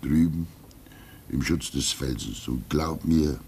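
A man acting in a German radio play as a gentle, resigned old hermit, fatherly and reflective, with halting speech that swings between emphasis and hush. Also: extremely low pitch, gravelly, husky, exaggerated voice. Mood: serene, devout. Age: older.

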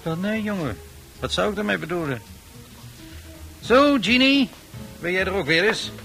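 An actor playing an easygoing, bantering bartender in a Dutch radio drama, with familiar, unhurried talk. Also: male voice, high-pitched, lively intonation, creaky, pushed and bright, heavily acted. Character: easygoing male bartender, bantering but cautioning. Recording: music playing behind.

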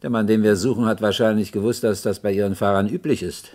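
An actor plays a male police inspector in a radio crime drama. His voice sits fairly low. He questions courteously and a little hesitantly, but keeps gently insisting. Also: fairly even intonation, quick pace, lightly acted. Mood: polite, measured.

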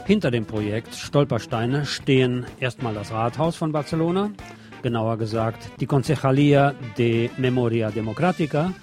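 A man presents a radio news magazine from a script, speaking at a middling pitch. Music plays along with his narration. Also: a little mellow.

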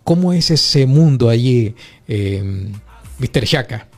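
A man hosting a conversational interview, courteous and measured, pausing often as he frames his questions. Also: mid-range voice, expressive. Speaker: radio or show interviewer.

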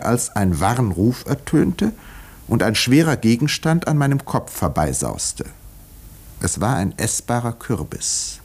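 An actor playing an urbane village doctor who narrates a 1950s radio drama with dry, measured irony. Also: male voice, mid-range voice, darkish tone, husky. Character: wry, urbane village doctor telling his own story.

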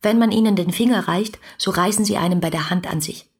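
An actress playing an ardent, dreamy young letter writer, breathy and confiding, in a radio play. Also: female voice, mid-range voice, fairly quick. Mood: earnest, defiant. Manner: musing, confiding, intimate.

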